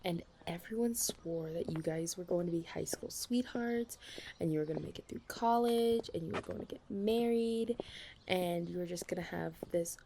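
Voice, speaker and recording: A young woman plays a talkative female best friend in a casual ASMR roleplay. Her voice is soft-edged and warm, slightly low, with a gravelly fry. She talks conversationally, with playful dramatic emphasis. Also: moderate pace, a little hiss or hum. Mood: wistful, candid. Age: young adult. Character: chatty, caffeine-loving female best friend, self-deprecating about dating. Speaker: ASMR audio roleplay performer.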